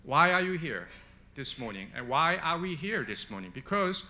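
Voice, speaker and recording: A man's voice: on the high side, very animated, moderate pace, sharp tone. A man from Korea, speaking at a conference panel in an emphatic way, with rhetorical questions. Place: conference hall on stage.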